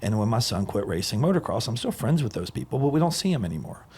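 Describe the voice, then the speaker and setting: A man's voice: medium pitch, very animated, fast, a little mellow, slightly rough. A man in his forties hosting a podcast, telling stories in an enthusiastic, rambling casual chat. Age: 40s. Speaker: podcast host.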